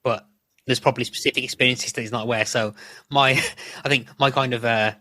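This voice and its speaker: A man's voice: mid-range voice, steady tone, a little fast, sharp tone, no room sound. A middle-aged man, chatting casually as a podcast co-host in a rambling, self-correcting way. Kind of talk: casual chat about a TV show.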